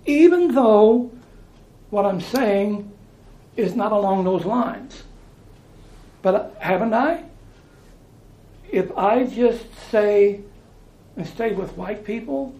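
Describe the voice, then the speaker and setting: A man's voice: high-pitched, dark tone, echoey room, rough recording. An American man preaching informally, talking in a conversational way, repeating phrases and restarting his sentences. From United States.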